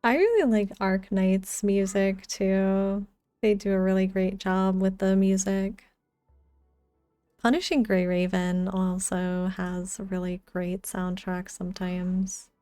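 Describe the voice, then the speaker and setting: A woman's voice: medium pitch, fairly even intonation, slow pace, dark tone, smooth, dry recording, faint music behind. A woman chatting casually as she streams a video game, talking close to the microphone. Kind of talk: casual livestream chat and commentary.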